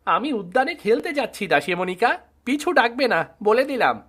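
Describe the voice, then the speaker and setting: A man's voice: very high-pitched, very animated, fairly quick, a bit bright, full character voice. A man playing a boyish, headstrong young prince in a fairy tale cartoon. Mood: petulant, bossy.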